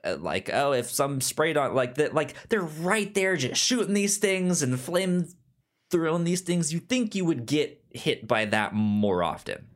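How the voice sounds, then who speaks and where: A man co-hosting a movie podcast in casual conversation, halting and repeating words as he works out a point. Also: fairly high pitch, very animated. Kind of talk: casual film discussion.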